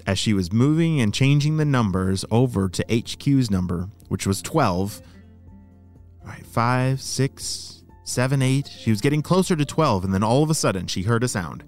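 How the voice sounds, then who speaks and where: A man's voice: mid-range voice, lively intonation, normal speed, darkish tone, faint music behind. A man hosting a children's story podcast, narrating and explaining things simply to young listeners.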